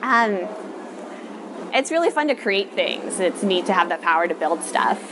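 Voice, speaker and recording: A woman's voice: mid-range voice, sing-song, normal speed. A woman in her thirties with an American accent, giving brief, thoughtful answers in a casual interview. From Texas, United States. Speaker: interviewee, machine learning researcher. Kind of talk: casual rapid-question interview. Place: outdoor covered city walkway. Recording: outdoor city ambience noise.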